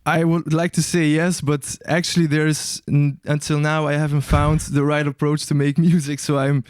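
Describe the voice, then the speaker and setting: Smooth-voiced young man, hesitant and searching. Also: on the high side, flat delivery, normal speed, no room sound, studio quality. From Albania. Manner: hesitant, searching for words, many restarts. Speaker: guest musician. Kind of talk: casual interview answers.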